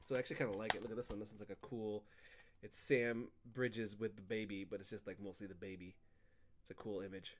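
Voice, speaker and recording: A man's voice: lowish voice, flat delivery, quick pace, slight background noise. A man chatting casually about games as a co-host on a live show. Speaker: co-host of a gaming radio show. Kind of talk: casual gaming banter.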